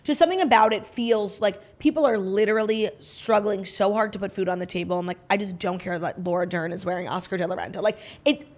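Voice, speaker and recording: Slightly hoarse woman co-hosting a chatty podcast. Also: mid-range voice, fast talker, few pauses, a little mellow. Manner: chatty, frank, peppered with "like". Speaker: podcast co-host. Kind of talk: casual pop culture chat.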